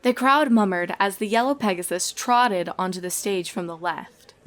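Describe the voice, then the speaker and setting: A woman's voice: mid-range voice, normal speed, fairly bright tone. Woman narrating a comic story. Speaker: fan fiction narrator.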